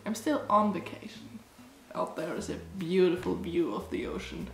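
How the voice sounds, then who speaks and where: A woman's voice: lowish voice, normal speed, vocal fry, roomy sound. A young woman from Germany with a stuffy, blocked-up nose from allergies, chatting casually as she tastes snacks for her vlog. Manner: chatty, rambling, lots of "like" and "um". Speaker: food vlogger. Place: small cottage room, indoors. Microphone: camera in front, close framing.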